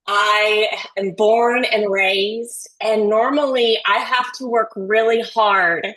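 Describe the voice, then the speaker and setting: Texan woman in her forties, Southern drawl, slow and effusive; nurse educator presenting on a video call. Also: mid-range voice, steady tone, low-quality recording. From Texas. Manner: warm, effusive, slow-paced. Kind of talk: webinar lecture. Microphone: computer mic on video call.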